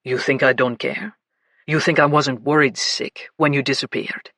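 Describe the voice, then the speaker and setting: A woman voicing a commanding, possessive grown man who speaks as a low, coaxing murmur. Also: fairly even intonation, normal speed, a bit bright, no room sound, clean studio sound, full character voice. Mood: fierce.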